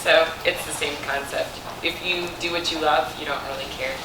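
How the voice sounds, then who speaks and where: Woman chatting on a career panel, far from the mic, echoey, casual and pausing a lot. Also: low-pitched, fairly even intonation. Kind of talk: informal panel talk. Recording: echoey, distant mic, noisy room.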